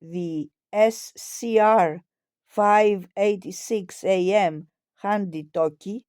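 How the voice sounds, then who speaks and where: A woman reading scripted history narration in a measured, formal manner. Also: mid-range voice, darkish tone, no echo, clean studio sound.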